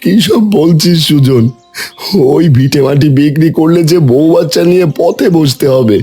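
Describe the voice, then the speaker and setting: A man's voice: fairly high pitch, normal speed, dark tone, husky, faint music behind. A man voicing a middle-aged village hotel owner in a cartoon, stammering and lamenting his ruin.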